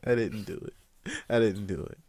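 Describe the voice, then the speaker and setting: A young man hosting a casual interview, joking around, laughing, and loudly protesting in fun. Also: on the low side, brisk, a little hiss or hum. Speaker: interviewer, channel host.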